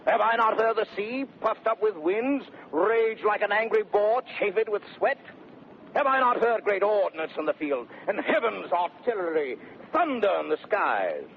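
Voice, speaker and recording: An actor playing a blustering, boastful young gentleman adventurer, declaiming in a 1930s radio Shakespeare play on a very tinny, noisy recording. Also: high-pitched, big pitch swings, unhurried, full character voice. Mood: boastful bravado. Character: swaggering, impudent young gentleman adventurer and fortune-seeker. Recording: very tinny vintage radio recording, faint noise.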